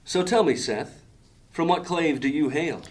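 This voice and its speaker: A man's voice: fairly high pitch, unhurried, lightly acted. An actor playing a formal, proud male leader of a thieves' gang in an audio drama, speaking ceremoniously.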